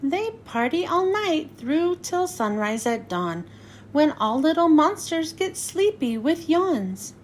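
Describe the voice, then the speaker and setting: A high-pitched woman narrates a children's picture book. Her delivery is slow and sing-song, swinging widely up and down as she follows the rhymes. There is some noise behind her. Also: sing-song. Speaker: children's read-aloud host. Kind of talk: scripted storybook narration for children.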